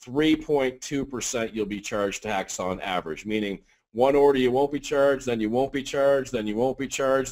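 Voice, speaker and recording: A man giving a casual business webinar update, working through percentages out loud with a reassuring, rambling manner. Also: medium pitch, poor audio. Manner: casual, talks math out loud, frequent fillers and restarts, reassuring. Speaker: company leader on webinar.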